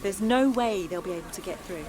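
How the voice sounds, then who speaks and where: An actress playing an earnest, polite schoolteacher organising a protest, in an audio drama. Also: female voice, mid-range voice, a little fast, lightly acted. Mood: upbeat, organising. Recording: loud street crowd behind.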